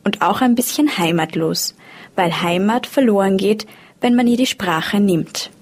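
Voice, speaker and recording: A woman of middle pitch reads a written passage aloud. Her delivery is earnest and deliberate, with a plain, even tone. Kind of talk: reading a quoted text aloud.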